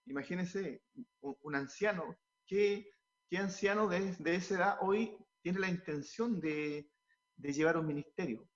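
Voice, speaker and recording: A pastor gives earnest, halting answers in a fairly high voice, repeating words as he goes and drifting into preaching. The sound is dull and lo-fi. He is a man speaking in an interview.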